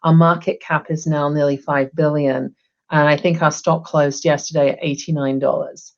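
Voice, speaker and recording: Low-voiced woman, chatty. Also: little pitch movement, normal speed, highs cut off. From England. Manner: chatty, upbeat, frequent ums. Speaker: guest, HR executive. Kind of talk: casual interview talk. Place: home room, video call.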